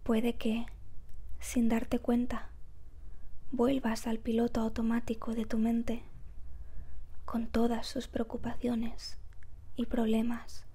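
A woman guiding a meditation in a soft, breathy, whispery voice, calm and gentle, with measured phrases and long pauses. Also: a little high. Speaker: meditation guide. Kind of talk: slow guided meditation narration.

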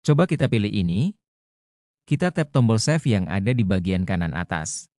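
A man delivers a tutorial voice-over in a dark, soft-edged tone. He explains things methodically, one step at a time. The sound is dry and close to the mic. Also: lowish voice, dry recording. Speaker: tutorial host. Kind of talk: instructional screen-recording narration.

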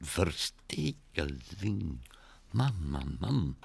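A man's voice: deep voice, sing-song, very slow, gravelly, a little mellow, slight background noise, exaggerated voice. A man voicing a wily, sentimental Flemish seller, wheedling and theatrical, in a comic book reading. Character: wily, sentimental male seller of old luggage. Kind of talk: comic book read aloud in voices.

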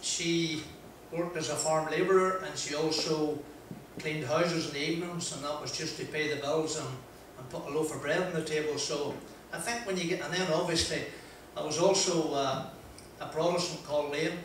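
A man's voice: fairly high pitch, steady tone, a little hiss or hum. An older man with a Northern Irish accent telling self-deprecating stories at a motivational talk, holding a handheld mic in a large wood-panelled room. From Northern Ireland. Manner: chatty, self-deprecating, frequent "uh" pauses and laughs. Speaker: motivational speaker. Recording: echoey hall, voice from a distance.

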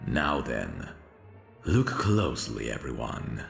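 A man acts out a suave stage magician in an ASMR roleplay. His voice is rock-bottom deep with a crackling fry, and it swings widely in melody as he plays the careful, theatrical showman. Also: big pitch swings, reverberant, soft background music, full character voice. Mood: showmanlike. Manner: theatrical, slow, drawn-out delivery. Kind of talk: scripted ASMR audio roleplay.

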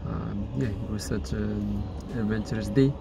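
A man's voice: on the low side, steady tone, normal speed, gravelly. A young man from Fiji presenting a road trip vlog outdoors, playful and repeating lines for effect. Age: young adult. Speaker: vlogger. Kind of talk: casual travel vlog. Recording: loud background music.

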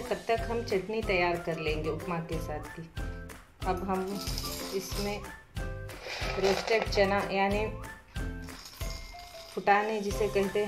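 Patient woman explaining a home recipe. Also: medium pitch. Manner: patient, explanatory, repeats steps with "dekhiye". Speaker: home cooking channel host. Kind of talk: step-by-step cooking instruction. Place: home kitchen, cooking at the stove.

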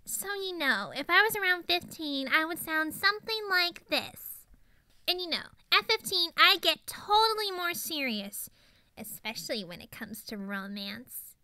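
A woman's voice: very high-pitched, animated, a little slow, pushed and bright, no echo, exaggerated voice. A woman voicing a zany, mischievous fifteen-year-old girl pony in a cartoon monologue, chatty, rambling and emphatic. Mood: matter-of-fact. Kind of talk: improvised cartoon character monologue.

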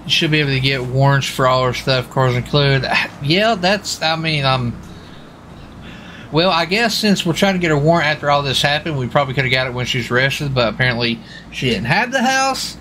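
A man with a bright, forward voice talks casually as he roleplays in a video game. His speech is informal and peppered with folksy exclamations, with faint game ambience behind him. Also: mid-range voice, lively intonation, brisk, a little hiss or hum. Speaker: gamer roleplaying a US marshal on stakeout.

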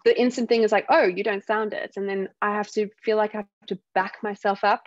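A woman from South Africa with a softened South African accent, a guest telling stories in a casual interview and acting out what other people say. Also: mid-range voice, brisk, no room sound, highs cut off. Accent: South African, softened by years in the UK. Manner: animated storytelling, acts out other people's remarks. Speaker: guest speaker.

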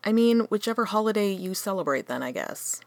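A woman's voice: medium pitch, animated, fairly quick, dry recording, close to an everyday voice. A woman playing an earnest, easily exasperated holiday spirit in a comedic radio play, with theatrical delivery. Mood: flustered.

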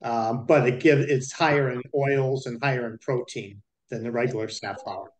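A man's voice: mid-range voice, steady tone, moderate pace, low-quality recording. A middle-aged man from a garden center, easygoing with dry humor, speaking on a video call. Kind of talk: casual panel chat.

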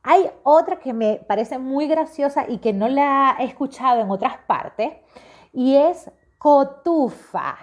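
Lively Venezuelan woman, lo-fi sound. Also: sits high. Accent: Venezuelan, from Maracaibo. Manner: lively, amused storytelling. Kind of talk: casual video message. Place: indoors at home. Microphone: wired earphone mic, front camera. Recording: dull lo-fi sound.

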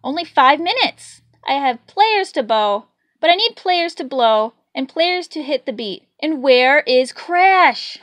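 Exasperated penguin maestro played by a woman reader, very high, emphatic, demanding, storybook style. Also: lively intonation, a bit bright, dry recording, heavily acted. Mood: exasperated, urgent. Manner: emphatic, exclaiming, drawn-out stresses. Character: exasperated, demanding penguin orchestra conductor, male.

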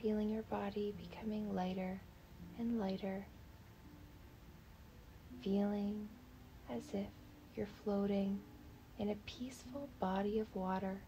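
A woman leading a guided meditation in a soft, breathy, half-whispered voice, gentle, soothing and unhurried. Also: mid-range voice, fairly even intonation, lo-fi sound, a little hiss or hum.

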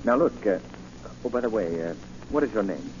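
Urbane gentleman detective acted by a man, lowish voice with very animated inflection, 1940s radio drama. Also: a little fast, natural voice. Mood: intrigued, reassuring. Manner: courteous, assured, urbane. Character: suave, composed gentleman sleuth. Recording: muffled, hissy 1940 radio recording.

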